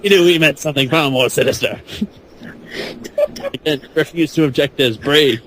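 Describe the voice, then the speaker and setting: A man's voice: on the high side, lively intonation, normal speed, background noise. A man doing a comic reading of fan fiction, mock-dramatic with playful, chatty asides. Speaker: podcast guest or panelist reading narration.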